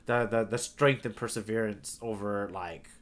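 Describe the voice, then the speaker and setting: A man co-hosting a podcast in casual discussion, analytical and reasoning out loud through questions. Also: on the low side, fairly even intonation, normal speed. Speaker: podcast co-host.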